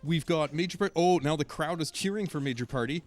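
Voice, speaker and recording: An excitable man in casual banter, often exclaiming. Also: on the high side, quick pace. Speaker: show panelist opening a gift. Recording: faint music behind.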